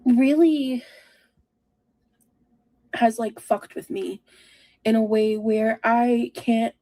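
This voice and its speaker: A woman in her thirties speaking in a halting, self-reflective way, as in a personal confessional vlog. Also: fairly high pitch, dark tone. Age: 30s.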